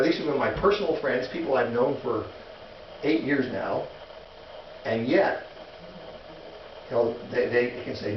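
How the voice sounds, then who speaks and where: A man teaching a missions class in a relaxed, halting way, with many restarts and fillers while telling stories. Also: mid-range voice. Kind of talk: informal classroom lecture. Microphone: distant room mic. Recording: echoey, distant, muffled classroom recording with noise.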